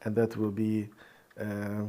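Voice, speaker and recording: A man's voice: fairly low pitch, completely flat delivery, slow, warm tone. A man whose first language is Hungarian gives an informal screencast programming tutorial, thinking aloud as he types. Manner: thinks aloud while typing. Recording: clear background noise, keyboard clicks. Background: computer keyboard typing and mouse clicks.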